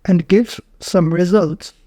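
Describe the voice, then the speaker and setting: A man narrating a guided meditation in short, measured phrases with frequent pauses. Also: high-pitched, steady tone, dark tone, no room sound, studio quality.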